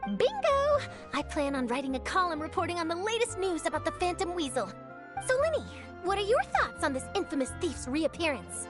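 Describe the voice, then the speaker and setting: A woman's voice: very high voice, very animated, a little fast. A woman playing an eager, bubbly young newspaper reporter in an anime game, chirpy, polite and enthusiastic. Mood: upbeat. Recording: music playing behind.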